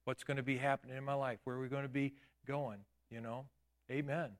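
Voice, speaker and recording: A man in his late fifties preaching a sermon in a church, with emphatic declarations and quieter asides. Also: medium pitch, little pitch movement, fairly quick. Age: 50s. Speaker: pastor. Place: church sanctuary.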